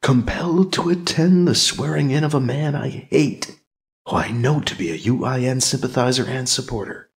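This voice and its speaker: A man voicing a wry, sarcastic male character in a story, halting and joking through his unease. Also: mid-range voice, creaky, natural voice. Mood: bitter musing. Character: wry, sarcastic man, uneasy but putting on good cheer.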